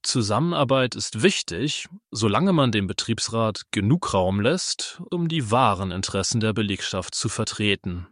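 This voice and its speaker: An actor playing a pragmatic, sarcastic works council member, speaking in a measured way. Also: male voice, on the low side, animated, a bit bright, no room sound, clean studio sound. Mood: dry, firm. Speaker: actor in satirical radio play. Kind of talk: German radio play acting.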